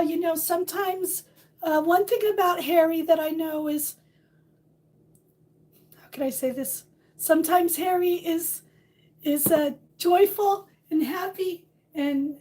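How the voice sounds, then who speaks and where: A middle-aged woman with a hoarse voice, chatting casually on a livestream and greeting viewers by name. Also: high-pitched. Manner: warm, rambling, reads out viewer names. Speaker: livestream host. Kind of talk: casual live chat with viewers.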